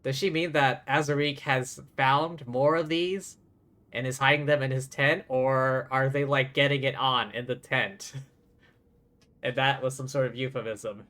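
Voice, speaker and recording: A man's voice: medium pitch, steady tone, a little slow, pushed and bright. A man streaming a video game, commenting casually and muttering asides to himself as he plays. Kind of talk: live game commentary mixed with reading dialogue aloud. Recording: game music behind the voice. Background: video game music.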